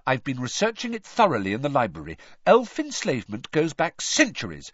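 Audiobook role: male reader as earnest, bossy teen girl crusader, raised pitch, emphatic and brisk delivery. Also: fairly bright tone, no echo, muffled recording. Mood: indignant. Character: bossy, earnest, impatient teenage schoolgirl crusader.

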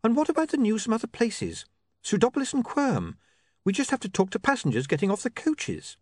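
A man doing a brisk practical young woman. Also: high voice, wildly swooping pitch, no echo, clean studio sound, voice pushed up, heavily acted.

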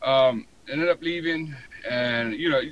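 An American man in his forties, telling his story casually in an interview with a halting, colloquial delivery full of "you know". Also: medium pitch, fairly bright tone, dull audio, a little hiss or hum. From San Diego, born in Hawaii. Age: 40s. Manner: halting, colloquial, frequent 'you know'. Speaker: podcast guest, fire protection inspector.

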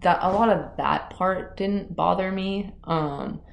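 A young woman, a climbing guide, talking quietly and casually in an interview, hedging with lots of fillers. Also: fairly low pitch, takes it slowly, gravelly. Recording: roomy echoey recording.

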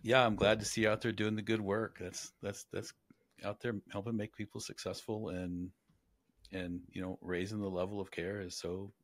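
A man hosting a casual interview podcast, speaking haltingly and often repeating words as he works out a thought. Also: fairly low pitch, level tone, dry recording, clean studio sound. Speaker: podcast host.

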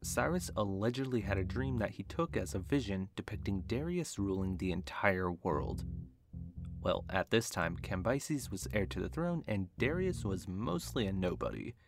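Low gravelly man over guitar music. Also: expressive, moderate pace. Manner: wry, jokey asides. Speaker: podcast host. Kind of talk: scripted history narration. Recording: music bed behind the voice.

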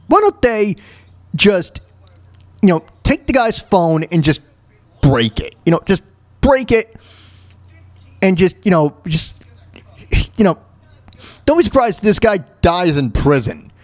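A man hosting a talk show, delivering an opinionated solo rant in a halting, repetitive, emphatic way. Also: high voice, sing-song. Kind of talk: opinionated solo rant reacting to news clips.

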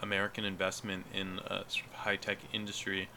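A man's voice: sits low, monotone, brisk, vocal fry, pushed and bright, a little hiss or hum. A man co-hosting a podcast in casual chat, with a nasal voice. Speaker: podcast co-host.

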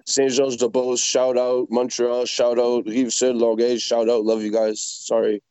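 A man's voice: medium pitch, flat delivery, moderate pace, warm tone, clean tone, no echo. A man from Quebec with a French Canadian accent, co-hosting a casual show. He jokes around and stumbles over repeated words as he starts his sentences. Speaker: co-host. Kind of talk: casual chat.

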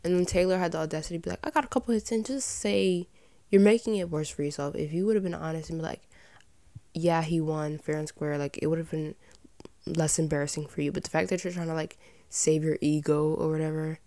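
A young woman with a slightly raspy voice and some vocal fry at sentence ends, chatting casually and giving her opinions as a podcast host. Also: low-pitched, steady tone, a little fast, warm tone, dry recording. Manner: informal, rambling, opinionated. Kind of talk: casual chatty solo commentary.

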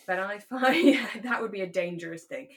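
British woman co-hosting a relaxed podcast. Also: mid-range voice, brisk. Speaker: podcast co-host, actor. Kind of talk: relaxed conversational discussion of a radio drama.

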